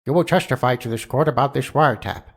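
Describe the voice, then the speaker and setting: An actor playing a solemn elderly courtroom judge in a video game drama, formal and deliberate. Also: male voice, mid-range voice, slight background noise, close to an everyday voice. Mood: grave.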